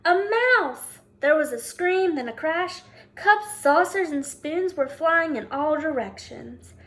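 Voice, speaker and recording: Woman, high-pitched voice, teacher, children's read-aloud, picture-book narration, prompting commentary. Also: measured pace. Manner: prompting listeners with questions.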